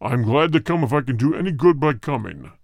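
A man voicing a grave spirit of the dead in a storybook, speaking in a deep, hard, cold voice with formal, measured phrasing. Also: lively intonation, gravelly, no room sound, studio quality. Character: solemn spirit of the dead speaking through a medium.

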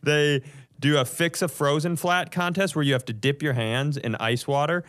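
A man's voice: a little high, steady tone, moderate pace, a bit bright. A man hosting a comedy podcast, telling a story from notes in a casual, profane way and often chuckling as he goes.

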